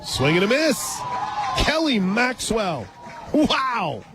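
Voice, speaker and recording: A man doing live radio softball play-by-play, dropping conversational asides between pitches. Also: wildly swooping pitch, slow. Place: radio booth at an outdoor ballpark. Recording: outdoor stadium crowd noise, loud music. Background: loud crowd and ballpark music.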